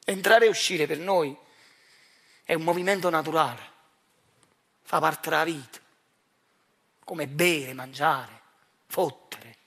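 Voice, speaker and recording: A man with a fairly high voice and a Neapolitan accent performs a dramatic monologue. He speaks deliberately and confidingly, as if straight to each listener. The delivery is theatrical and personal. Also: fairly bright tone, husky. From Naples, Italy. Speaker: actor and writer performing his own monologue.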